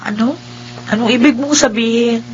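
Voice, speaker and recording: An actress playing a gentle, wistful blind young wife in a Filipino radio drama, with a Filipino accent and a soft-hearted conversational manner. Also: female voice, fairly high pitch, normal speed, lo-fi sound, a little hiss or hum, natural voice. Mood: casual, mild.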